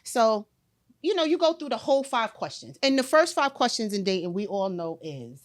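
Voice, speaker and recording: A woman in her late forties hosting a podcast in casual chat, blunt and animated, acting out quotes as she tells stories. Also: fairly high pitch, moderate pace. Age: 40s. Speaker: podcast host.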